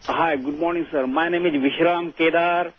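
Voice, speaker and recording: A man with an Indian accent calling a phone-in, making an earnest, halting political comment. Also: a little high, fairly even intonation. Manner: halting, repeats phrases. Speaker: phone-in caller. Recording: dull telephone line on broadcast.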